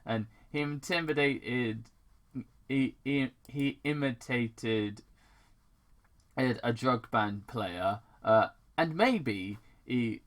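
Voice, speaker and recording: A man narrates fan fiction in an amateur, off-the-cuff way. His delivery is slow and halting, often tripping on words, and his mid-pitched voice has a bright, sharp edge. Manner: halting, stumbling over words. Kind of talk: amateur story read-aloud with asides.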